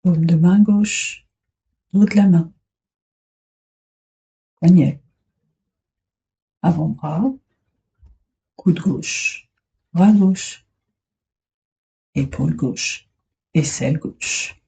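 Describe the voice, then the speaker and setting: Casting: female meditation guide, very dark, soft-edged husky tone, soothing delivery with long pauses, slightly muffled recording. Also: lowish voice. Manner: soothing, unhurried, short phrases with long pauses. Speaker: yoga nidra instructor. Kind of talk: guided meditation, relaxation instructions.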